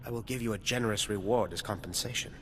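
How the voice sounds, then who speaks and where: A man's voice: on the low side, fast, a bit bright, lightly acted. A man playing a self-important, fame-hungry scientist in a video game, with a formal, verbose, pompous manner. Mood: pompous, eager. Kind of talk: video game character dialogue. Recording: reverberant hall with background noise.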